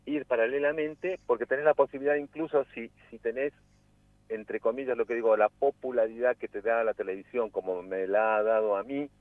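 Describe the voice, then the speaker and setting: A man's voice: medium pitch, steady tone, fairly quick, dry recording. A man around sixty who grew up in Peru, an actor speaking as a guest in a radio interview, reflective and repeating words as he searches for them. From Peru, raised in Cusco. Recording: tinny phone line, dry.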